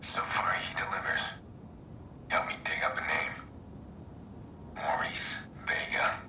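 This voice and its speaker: Low, brooding vigilante hacker. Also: male voice, steady tone, slow, echoey room, in a whisper, distant mic. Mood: wry, terse. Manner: terse, clipped, grim. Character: brooding, guarded vigilante hacker in his late thirties. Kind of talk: scripted video game audio log.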